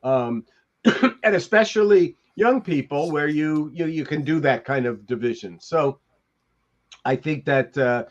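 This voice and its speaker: Older guy w high voice rambling on a podcast video call, kinda muffled audio. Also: measured pace. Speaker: podcast panelist, TV industry veteran. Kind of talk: casual reminiscing chat. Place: home room, on a video call.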